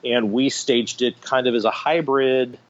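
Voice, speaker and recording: A man's voice: medium pitch, steady tone, unhurried, muffled recording, slight background noise. A middle-aged man telling anecdotes enthusiastically as an interview guest, in a conversational storytelling style. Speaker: guest, radio theater director.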